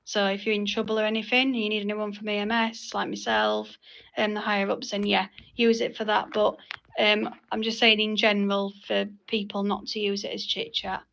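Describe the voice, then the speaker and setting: A woman's voice: mid-range voice, flat delivery, normal speed, gravelly, fairly bright tone. A woman explaining and instructing in casual talk, leading a meeting in an online game voice chat. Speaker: senior EMS player leading a game meeting. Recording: faint background noise with clicks.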